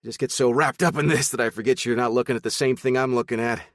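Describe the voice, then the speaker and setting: A man voicing an animated, chipper male hacker who rambles on a phone call. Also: medium pitch, fast talker, no echo, studio quality, pitched above the performer's own voice, close to an everyday voice.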